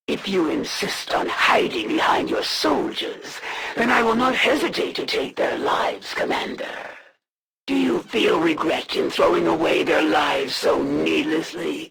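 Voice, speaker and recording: Gravelly, slow, menacing alien assassin. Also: medium pitch, noisy background, full character voice. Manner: formal, haughty, deliberate threats. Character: proud menacing female alien assassin, cold honor-bound hunter. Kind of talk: video game villain voice acting.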